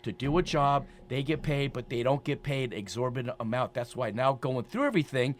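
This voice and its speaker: A man's voice: mid-range voice, animated, a little fast. A man in his sixties hosting a promotional radio talk show, speaking emphatically and repeating phrases for effect. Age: 60s.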